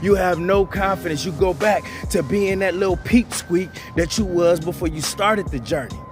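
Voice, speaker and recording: A man with a slightly raised pitch talks straight to his viewers in a blunt, profane motivational monologue. He repeats his points and keeps calling them "bro". Music plays clearly behind him. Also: moderate pace. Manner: blunt, profane, repetitive, calls listeners "bro". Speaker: channel host giving advice.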